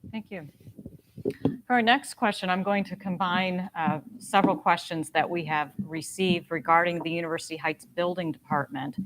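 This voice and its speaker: A woman's voice: on the low side, moderate pace. A woman moderating a candidate forum, introducing questions and calling on speakers. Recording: clear background noise.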